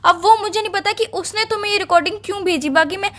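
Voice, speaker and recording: A woman's voice: extremely high pitch, fast, a bit bright. A woman playing a defensive young girlfriend accused of cheating in a dramatized breakup phone call, earnestly and insistently pleading to be believed.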